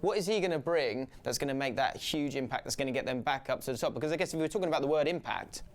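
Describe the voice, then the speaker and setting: A man's voice: medium pitch, rapid-fire. A man hosting a football debate, prodding the guests with questions. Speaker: debate host.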